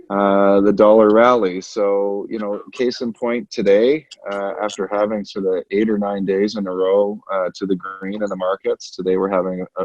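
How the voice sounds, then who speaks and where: A man giving unscripted market commentary as a portfolio manager, haltingly, repeating words as he thinks aloud on a conference call. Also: fairly low pitch, darkish tone. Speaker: portfolio manager, currency specialist. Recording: dull, roomy conference call line.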